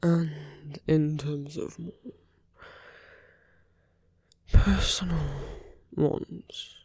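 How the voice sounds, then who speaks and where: A man playing a smitten, idealistic villain in an intimate ASMR roleplay, with a husky, often whispered voice and an earnest, coaxing manner. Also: fairly high pitch, steady tone, extremely slow, darkish tone, no room sound, studio quality, heavily acted. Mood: earnest, tender. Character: romantic male villain, smitten, idealistic, urging a lover to flee.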